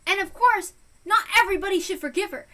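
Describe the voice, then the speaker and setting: A woman giving casual, impassioned fan commentary in an exasperated, emphatic and halting way. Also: very high voice, pushed and bright. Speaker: reader and commentator.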